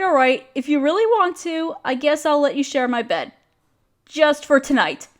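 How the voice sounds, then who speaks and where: A woman playing an overworked, apologetic young woman confiding hesitantly in a close friend in an intimate audio roleplay. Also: sits high. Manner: self-deprecating, hesitant, casual. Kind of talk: roleplay audio drama, intimate one-sided conversation.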